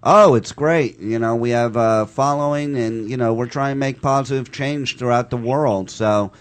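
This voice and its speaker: A man's voice: mid-range voice, normal speed. A man hosting a talk radio show, talking in a loose, upbeat way with many fillers.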